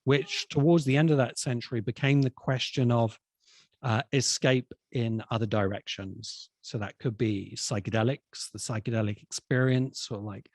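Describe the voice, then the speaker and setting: A man speaking as an expert guest in a conversational discussion, explaining ideas in a thoughtful, halting way. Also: mid-range voice, steady tone, dry recording. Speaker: interviewed science fiction expert.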